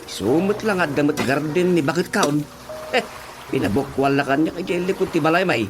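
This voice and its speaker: A man's voice: fairly high pitch, normal speed, lightly acted. An actor playing a younger male villager in casual conversation in an Ilocano radio drama. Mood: chatty. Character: younger villager chatting with an elder sister figure. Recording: music under the dialogue.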